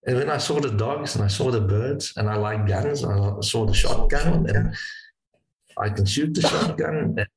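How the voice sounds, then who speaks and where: A man from South Africa, a native Afrikaans speaker with a South African accent, telling stories in a casual interview, halting and repeating words as he goes. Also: medium pitch, roomy sound, rough recording. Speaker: wingshooting guide.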